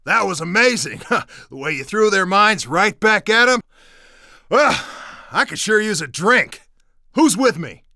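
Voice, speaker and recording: An earnest resistance fighter in a video game. He explains briskly in a folksy, animated voice with wide swings in pitch. The sound is dry and close. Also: dry recording, heavily acted. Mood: excited, friendly. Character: earnest, easily exasperated male resistance fighter and instructor.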